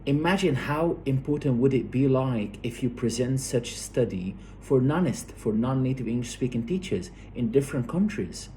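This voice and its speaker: A man giving an explanatory academic lecture, halting and repeating words as he goes, often checking that listeners follow. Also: mid-range voice, lively intonation, mellow, a little hiss or hum.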